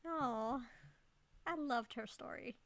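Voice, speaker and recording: A woman's voice: on the high side, takes it slowly, fairly bright tone, no echo, low-quality recording. A woman streaming a video game, reacting casually in a gushing, sentimental way. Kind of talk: casual reactions while playing.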